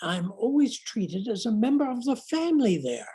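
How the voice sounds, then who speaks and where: A woman playing a languid, witty young Victorian gentleman in a stage comedy, measured and haughty. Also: a little high, big pitch swings, husky, full character voice. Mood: disdainful.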